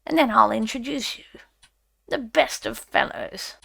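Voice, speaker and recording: A woman's voice: fairly high pitch, measured pace, gravelly, sharp tone, full character voice. A woman voicing a friendly but anxious male water rat in a storybook, emphatic and evasive. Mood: easygoing.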